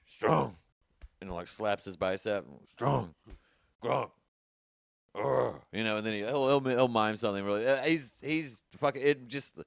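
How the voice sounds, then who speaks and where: A man hosting a casual comedy podcast, joking and swearing freely with quick asides. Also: lowish voice, clear, resonant tone, no echo, studio quality. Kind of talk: casual chat.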